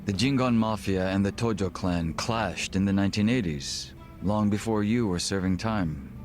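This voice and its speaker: An actor playing a suave, guarded gang second-in-command in his thirties for a video game, speaking in a formal, measured, wry way. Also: fairly low pitch, soft background music, close to an everyday voice. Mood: grave, measured. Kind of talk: English video game voice acting.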